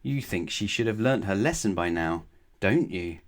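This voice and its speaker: Man, lowish voice, children's story narrator, measured, expressive phrasing. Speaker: storyteller reading a children's book aloud.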